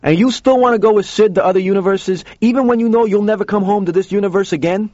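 A man's voice: sits high, quick pace, darkish tone, voice pushed up, natural voice. A man voicing a cautioning diner regular who questions a youngster pointedly in an audio story. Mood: probing, skeptical. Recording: muffled, roomy recording.

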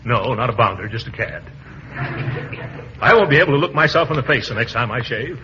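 An actor playing a pompous, easily riled middle-aged uncle in a blustering, theatrical 1940s radio comedy style. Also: male voice, medium pitch, expressive, a little fast, pushed and bright. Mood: fretful. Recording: muffled, hissy old radio recording.

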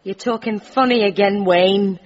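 A woman's voice: medium pitch, close to an everyday voice. An actress with a British accent playing a blunt, no-nonsense, scolding woman in a comic audio drama. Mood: exasperated. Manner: brisk, scolding, colloquial. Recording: dull lo-fi audio.

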